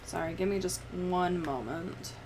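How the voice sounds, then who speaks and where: A woman's voice: fairly low pitch, a little slow, background noise. A young adult woman livestreamer chatting casually and rambling, with some swearing, close to the microphone. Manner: rambling, profane. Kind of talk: casual livestream chat while editing.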